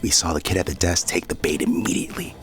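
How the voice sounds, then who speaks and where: An actor playing an excitable young American man in an audio drama, slangy and enthusiastic in narration and dialogue. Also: sits low, fast talker, music in the background, natural voice. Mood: cocky storytelling.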